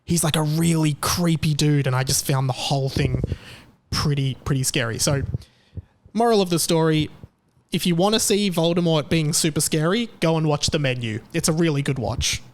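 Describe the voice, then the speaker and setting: Emphatic Australian man, slightly high voice. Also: moderate pace. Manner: emphatic and opinionated. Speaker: podcast host. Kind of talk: casual opinion monologue.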